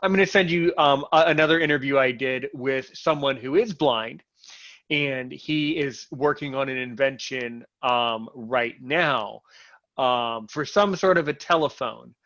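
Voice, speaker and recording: An American man talking in a casual interview. He is animated, repeats and restarts his words when he gets excited, and comes through a video call. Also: medium pitch, measured pace. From United States. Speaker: interviewee and show host. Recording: lo-fi online call audio.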